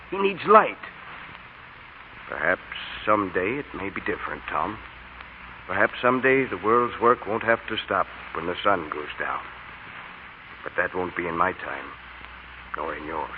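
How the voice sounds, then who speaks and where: Stern low-voiced country doctor played by a man. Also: lively intonation, normal speed, long pauses, close to an everyday voice. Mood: firm, resigned. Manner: grave, authoritative, exclaiming. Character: stern but kindly male country doctor. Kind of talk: 1940s radio acting. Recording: noisy, tinny 1940s radio recording.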